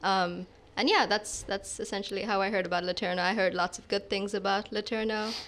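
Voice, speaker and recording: A woman's voice: mid-range voice, steady tone, brisk, a bit bright. Young woman student from Zambia. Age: young adult. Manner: thoughtful, with frequent ums. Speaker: student guest. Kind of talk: casual interview answers. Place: studio room. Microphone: studio mic on boom arm.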